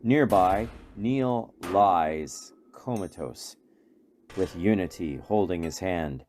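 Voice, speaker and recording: A man's voice: low voice, lively intonation, slow, a little mellow, slight background noise. A man reading scripted narration for a radio play in a dramatic storytelling manner.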